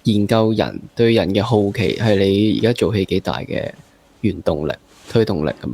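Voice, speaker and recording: A man's voice: on the low side, animated, moderate pace, dark tone, slightly rough, dry recording. A man with a Hong Kong accent hosts a casual interview, asking informal, playful questions. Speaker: interview host.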